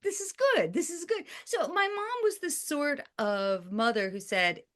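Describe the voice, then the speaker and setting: A woman's voice: high-pitched, sing-song, fairly bright tone. A woman author as a podcast guest, talking casually with frequent fillers and restarts. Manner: chatty, halting with fillers and restarts.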